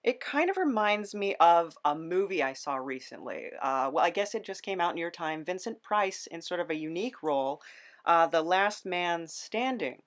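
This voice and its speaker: A woman's voice: fairly low pitch, animated, normal speed. A woman hosting a conversational podcast interview, thoughtful and a little hesitant as she forms her questions.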